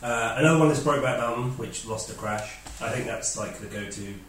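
A man's voice: medium pitch, brisk, fairly bright tone, a little hiss or hum. A man hosting a casual film chat and giving firm opinions. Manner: leads the questions, opinionated. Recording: echoey room, distant mic.

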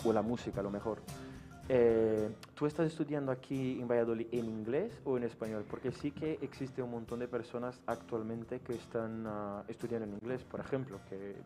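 A man's voice: medium pitch, steady tone, moderate pace, warm tone, faint music behind. A man hosting a casual podcast, talking with lots of enthusiasm and easy swearing. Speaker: podcast host. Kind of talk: casual chat.

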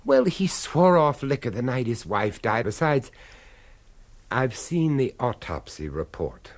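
An actor playing a shrewd, insistent professor turned amateur sleuth in a radio drama, speaking emphatically and persuasively. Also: male voice, mid-range voice, very animated, unhurried. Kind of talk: British radio drama acting.

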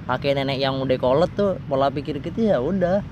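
A man's voice: medium pitch, brisk, muffled recording, noisy background. A man with a Betawi accent, chatting casually as a guest and amateur teacher, joking and rambling in slang. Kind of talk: casual chat.

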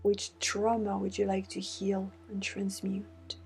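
A woman guides a meditation in a soothing, deliberate way, with breathy half-whispered phrases. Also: medium pitch, monotone, darkish tone. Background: meditation music with singing bowl tones.

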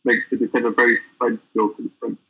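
A man's voice: mid-range voice, level tone, husky. A man joins an interview as a guest over a tinny, phone-like line. He rambles through his stories and often stops and restarts. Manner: rambling storyteller, halting. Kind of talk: casual interview chat.

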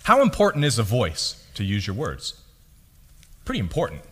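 A young man with a deep voice delivers a sermon in a relaxed, conversational tone, poking fun at himself. His pitch moves a lot from line to line. The sound carries the long reverb of a large hall. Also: moderate pace, breathy edge. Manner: lively, conversational, self-deprecating storytelling. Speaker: pastor.

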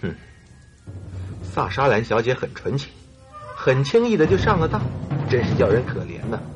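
A man acting in a radio drama as an excitable, easily exasperated sidekick, huffy and full of exclamations. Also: medium pitch, moderate pace, over music, lightly acted. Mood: intrigued.

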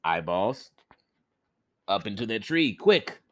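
A man's voice: lowish voice, sing-song, slow pace. A man voicing a boastful, foolish man from a folktale who turns whiny and pleading.

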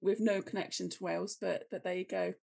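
A woman's voice: on the low side, steady tone, brisk, vocal fry, darkish tone, husky. A young woman from Cornwall, a podcast guest talking casually and laughing often as she chats. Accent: English. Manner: chatty, laughs often, self-deprecating. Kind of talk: casual interview chat.

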